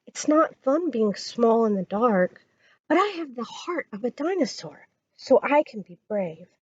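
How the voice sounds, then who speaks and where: A woman's voice: fairly high pitch, very animated, measured pace, slightly rough, muffled recording, full character voice. A woman voicing a tiny young male dinosaur, wondering and brave, in a children's storybook. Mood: scared but brave. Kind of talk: children's storybook read-aloud.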